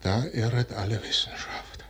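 An actor playing a proud, brooding general in a classical German radio play, declaiming dramatically in verse with a husky voice. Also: male voice, on the low side, moderate pace, slight background noise, close to an everyday voice. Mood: bitter, wounded. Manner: declamatory, dramatic, shifting from outbursts to hushed brooding. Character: proud, commanding general, betrayed and brooding, superstitious.

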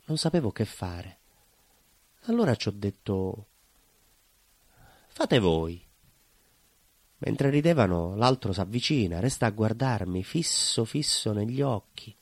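A male actor with a southern Italian accent playing a troubled, proud working-class baker in a confessional theatre monologue, halting and repetitive in his telling. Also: on the low side, no room sound. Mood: uneasy recounting. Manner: halting, repetitive, colloquial confiding storytelling. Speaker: stage actor performing a monologue.

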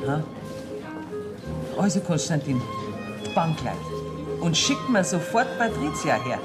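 A woman's voice: low voice, big pitch swings, unhurried. An actress playing a domineering, self-righteous woman banker in a radio play, speaking Bavarian dialect in a commanding, indignant way. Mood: bossy. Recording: 1970s radio play, music behind.

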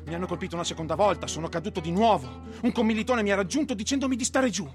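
A man's voice: high voice, very fast, lightly acted. An actor playing a proud, defiant one-legged soldier in his thirties, telling his story in a declamatory theatrical monologue. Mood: urgent. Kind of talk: dramatic first-person theatrical monologue. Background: music.

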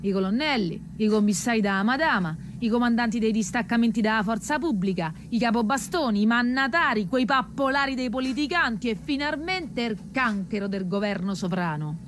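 A woman's voice: a little high, animated, brisk, few pauses, slight background noise, lightly acted. A woman playing a proud, tough, world-weary prostitute with a Roman accent, earthy and defiant in radio theatre. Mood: scornful.

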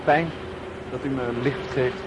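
An actor playing a reticent, evasive young hitchhiker with a terse manner in a radio drama. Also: male voice, medium pitch, steady tone, a little slow, lightly acted. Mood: guarded. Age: young adult. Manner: hesitant, terse. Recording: clear vehicle noise behind dialogue.

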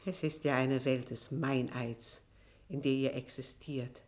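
A proud, bitter, slow-speaking estranged wife. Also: female voice, a bass voice, steady tone, darkish tone. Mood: biting, wounded. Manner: deliberate, rhetorical, biting delivery. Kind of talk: mid-century German radio drama acting.

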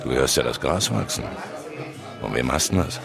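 A man's voice: extremely low pitch, expressive, normal speed, vocal fry, music in the background, a crowd in the background, exaggerated voice. A man playing a seasoned, dry investigative reporter in a naturalistic radio drama, curt and probing. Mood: dry, insistent.

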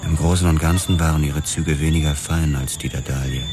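An actor narrating as a world-weary police officer in a hard-boiled radio drama, terse and measured. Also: male voice, rumbling low, fairly even intonation, background noise. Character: world-weary male cop narrating his case.

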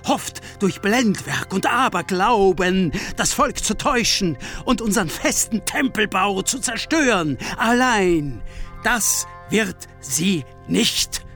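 A solemn high priest addressing his order in a classical radio play. He declaims slowly and with lofty authority, stern yet benevolent, over faint music. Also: a bit bright, full character voice.

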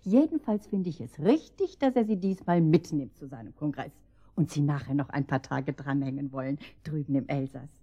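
A low, soft-edged woman's voice as a sweetly nosy neighbour in a radio drama. She is wordy, apologetic and effusive. The recording sounds a little muffled. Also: expressive, moderate pace. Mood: flustered, gushing. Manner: effusive, wordy, over-polite. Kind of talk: German radio drama acting.

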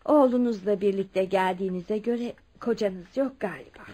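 A woman's voice: mid-range voice, normal speed, warm tone, natural voice. An actress playing a kindly, insistent older well-off lady in a radio drama, speaking. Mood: kindly curious. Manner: motherly, addresses others as her children. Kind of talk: Turkish radio drama acting.

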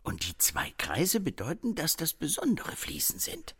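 An actor playing a naive, anxious and eager detective's sidekick in a radio crime comedy, earnest and theatrical. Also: male voice, fairly high pitch, huge pitch swings, normal speed, a bit bright, breathy edge, exaggerated voice. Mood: eager, proud.

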